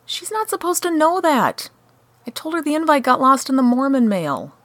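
A woman's voice: high voice, very animated, normal speed, fairly bright tone, dry recording. A woman playing a sardonic, exasperated scheming show host in a comedic radio play. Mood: wry. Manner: sarcastic, grumbling.

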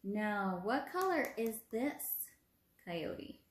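A woman's voice: medium pitch, big pitch swings, very slow, a little hiss or hum. A woman in her twenties, an encouraging teacher reading aloud and putting questions to young children. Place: small room at home, indoors. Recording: roomy home recording.

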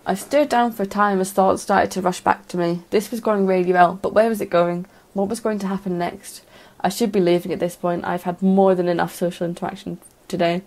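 A woman's voice: mid-range voice, fairly even intonation, fairly quick, few pauses, a little mellow. A woman narrating a scripted first-person short story.

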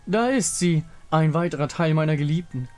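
Mocking fantasy game villain, acted by a man: lovesick king, theatrical, condescending, fairly high. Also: lively intonation, moderate pace, a little mellow, soft background music, lightly acted. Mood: gloating.

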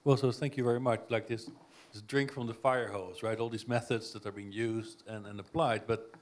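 A man's voice: lowish voice, steady tone, normal speed. Man, session chair, academic questioner, probing, thinking aloud, lecture hall. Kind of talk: academic discussion.

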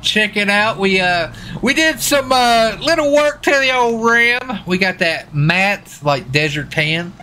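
A man's voice: sits high, lively intonation, a little slow, few pauses, sharp tone, a little hiss or hum. A man chatting casually and informally to viewers as he streams a game, in a folksy way. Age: adult with young children. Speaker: live streamer. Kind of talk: casual live stream chat while gaming.

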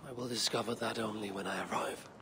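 An actor playing a weary, resolute Viking warrior in a game, gravelly, with terse, formal and solemn delivery. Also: male voice, lowish voice, fairly even intonation, quick pace, sharp tone, slight background noise. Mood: calm, resolute. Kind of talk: video game cutscene acting.

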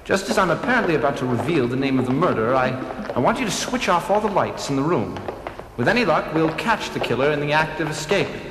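A man's voice: on the high side, big pitch swings, fast, background noise. A man playing an earnest, self-important detective hero in a mock film-noir radio drama. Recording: music behind the voice.

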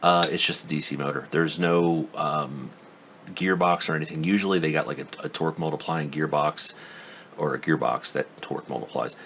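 Very deep-voiced man reviewing a tool. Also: normal speed, fairly bright tone, slight background noise. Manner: rambling, skeptical, lots of ums and restarts. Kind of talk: casual hands-on product review. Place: indoor workbench.

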